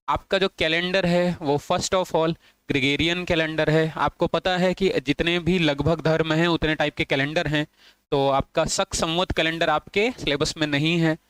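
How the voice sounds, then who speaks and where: A man's voice: on the high side, a bit bright, smooth, dry recording. A man teaching an online exam-prep lesson, repeating points and asking and answering his own questions, with English phrases mixed into his Hindi.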